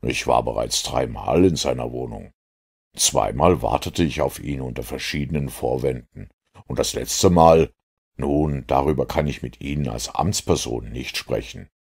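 A man voicing a composed master detective in an audiobook, lecturing in a measured, self-assured way. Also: a bass voice, creaky, a little mellow, husky, exaggerated voice. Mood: calm, knowing.

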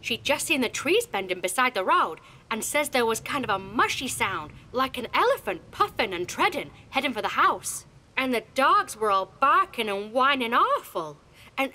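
A woman's voice: fairly high pitch, bright, forceful tone, heavily acted. A woman voicing a terrified rural villager, stammering and breathless with panic in a horror audio drama.

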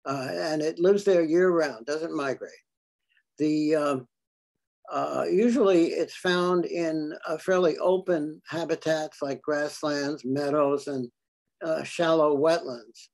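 An older man talks through a slide show, stopping often on ums and uhs as he explains each picture. His voice sits a bit high for a man and has a gravelly, creaky edge. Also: steady tone, darkish tone. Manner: halting, many fillers. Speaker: birding presenter. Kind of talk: slide talk to a club.

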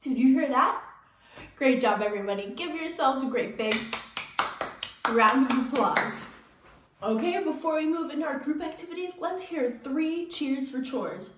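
A young woman instructing a children's movement class, encouraging and animated, talking directly to the kids. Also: sits high, normal speed, slight background noise. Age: young adult. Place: indoors, bare carpeted room at home. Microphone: camera a few steps away. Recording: echoey room, distant mic.

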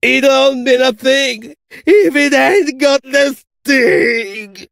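A man playing a manic, gloating scorpion showman villain in a video game, with a gravelly voice, an Italian accent and a theatrical, drawn-out delivery. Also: very high-pitched, fairly bright tone, dry recording, studio quality, full character voice. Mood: manic, triumphant. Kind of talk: video game character voice lines.

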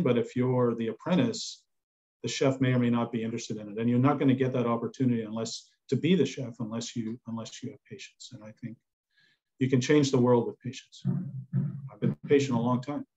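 Older man, mellow, muffled call audio. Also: mid-range voice, fairly even intonation, fairly quick. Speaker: chef panellist. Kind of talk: panel discussion. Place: indoors on a video call. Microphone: video call. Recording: slightly dull video-call audio.

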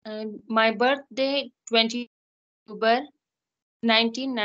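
A woman in her thirties with an Afghan accent, answering practice test questions in halting, simple beginner English. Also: on the high side, fairly even intonation, fairly bright tone. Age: 30s. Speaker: English learner, test candidate. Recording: dull, lo-fi online call audio.

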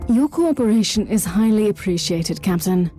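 A woman voices a stern female Inquisitor from a dark sci-fi video game. She is zealous and commanding, clipped and formal in every line. Music plays clearly behind her voice. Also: mid-range voice, expressive, moderate pace, close to an everyday voice. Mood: cool, formal, then stern, impatient. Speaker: video game lead character.

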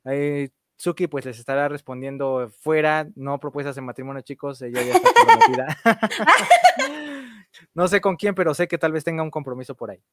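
A man's voice: on the high side, very animated, normal speed, a bit bright. A man hosting a casual livestream interview, chatty and joking in his manner. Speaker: interview host.

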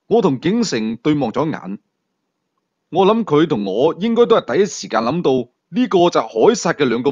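香港口音、抑揚頓挫嘅男說書人. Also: male voice, on the high side, lo-fi sound. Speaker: audiobook storyteller. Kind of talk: dramatic scripted narration of a thriller.

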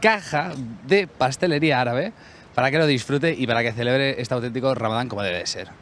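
Man, street reporter, quick formal questions, handheld mic, light city noise. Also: medium pitch, fairly bright tone. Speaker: street interviewer. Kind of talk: vox pop street questions.

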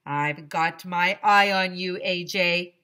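A woman's voice: on the low side, slow pace, sharp tone. A woman voicing a stern, bullying male doctor in a storybook, barking scornful commands like a drill sergeant. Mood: menacing.

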